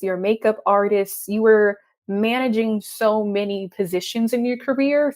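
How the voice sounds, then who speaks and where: Young texan woman, freelance writer, talks fast and chatty, laughs a lot. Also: medium pitch. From Texas. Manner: chatty, animated, laughs often. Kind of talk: conversational interview.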